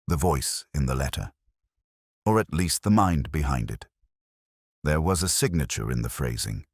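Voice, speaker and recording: Guy playing a thoughtful bookish detective telling his case, real low voice that rises and falls a lot, measured delivery. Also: no echo, studio quality, full character voice. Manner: measured, literary, deliberate phrasing. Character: brooding, erudite middle-aged male English detective, reflective. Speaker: narrator of a detective mystery. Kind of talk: scripted first-person mystery narration, audio drama.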